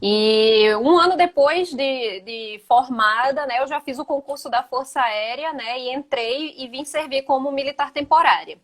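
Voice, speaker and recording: A woman in her thirties with a Gaúcho accent talks as a physical education teacher on a video call. Her voice sits slightly high. She speaks casually, with frequent "né" tags. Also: measured pace, few pauses. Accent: Gaúcho (southern Brazilian) Portuguese. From Northeast Brazil. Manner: conversational, many "né" tags. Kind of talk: casual live chat.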